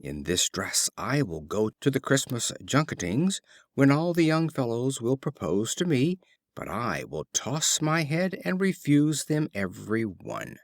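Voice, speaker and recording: Man reading as a vain daydreaming milkmaid, animated. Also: mid-range voice, measured pace, dry recording, clean studio sound. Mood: dreamy scheming.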